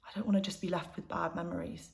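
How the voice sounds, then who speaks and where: Young woman acting, lowish voice, troubled granddaughter confiding in her mum, intimate drama monologue. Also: sing-song, fast, vocal fry. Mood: sad, subdued. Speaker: actor performing a self-written monologue.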